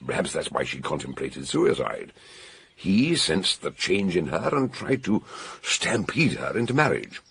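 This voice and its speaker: An actor playing a jovial, commanding older detective in a British radio mystery, with a British accent, a gruff voice and a theatrical, expansive way of explaining. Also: male voice, sits low, big pitch swings, measured pace, a bit bright, heavily acted. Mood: shrewd, explaining. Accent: British English.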